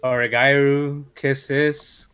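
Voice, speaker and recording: A man's voice: medium pitch, little pitch movement, takes it slowly, fairly bright tone, smooth. A man co-hosting a casual podcast, teasing and blunt with his friends. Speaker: podcast co-host. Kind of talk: casual chat.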